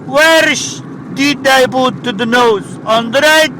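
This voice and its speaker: A man's voice: extremely high pitch, slow pace, bright, forceful tone, slight background noise, voice pushed up, heavily acted, low-quality recording. A man putting on a grandiose Italian professor. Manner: grandiose, rambling, broken English. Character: rambling pompous Italian male professor.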